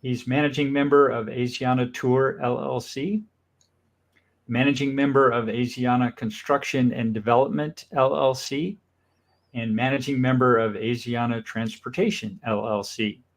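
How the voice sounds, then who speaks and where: A man's voice: medium pitch, steady tone, moderate pace. An upbeat male talk show host with a mid-range voice. He welcomes and questions his guest in a friendly way, often with enthusiastic interjections. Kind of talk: casual interview hosting.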